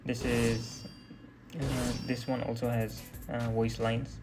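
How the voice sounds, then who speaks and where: A young man giving casual gameplay commentary in a hesitant, halting way. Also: mid-range voice, flat delivery. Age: young adult. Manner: hesitant, halting delivery with trailing phrases. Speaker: gaming video creator. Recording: loud music and game audio behind. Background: loud music.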